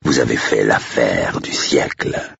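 A stern, threatening man, acted by a male narrator in an audiobook. The voice is extremely low and full of gravel, with a slow, deliberate growl and cold determination. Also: expressive, fairly bright tone, no room sound, lo-fi sound, exaggerated voice. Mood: growling contempt. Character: stern, menacing Englishman, slow-spoken and coldly determined.

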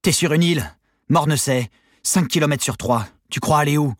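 A man voicing a tough, defiant young prison guard in an audiobook, with cutting, forceful delivery. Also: a little high, normal speed, no room sound, clean studio sound, pitched above the performer's own voice, close to an everyday voice. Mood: scornful, defiant. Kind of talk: dramatic audiobook character voice.

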